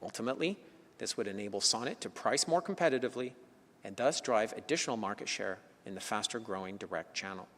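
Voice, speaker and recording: A man presenting as an insurance executive, reading measured points and stressing each new item. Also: lowish voice, lively intonation, a bit bright. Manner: measured, enumerating points, rising emphasis on openers. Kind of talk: scripted corporate presentation. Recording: long hall reverb.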